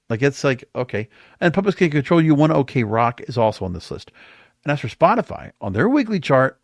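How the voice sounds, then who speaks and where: Male podcast host, solo commentary. Higher-pitched, very animated delivery, emphatic and conversational, recorded dry. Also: no room sound.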